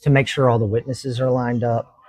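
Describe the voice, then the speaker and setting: Man, Kentucky accent, middle-aged, earnest, halting speech. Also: medium pitch, flat delivery, a little mellow. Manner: earnest, halting, many fillers and restarts. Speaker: judge, podcast guest. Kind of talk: casual interview talk.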